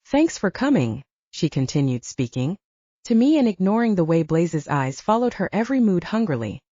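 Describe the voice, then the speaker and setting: Female audiobook narrator, soft dark tone with lively pitch movement, close dry sound. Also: brisk, no echo, highs cut off. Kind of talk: scripted first-person fiction narration.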